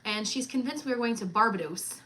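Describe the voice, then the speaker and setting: Bright, chatty woman in her thirties. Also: a little high, lively intonation, fairly quick, poor audio. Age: 30s. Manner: chatty, teasing, laughs often. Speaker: co-host of a cruise livestream. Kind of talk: casual livestream chat.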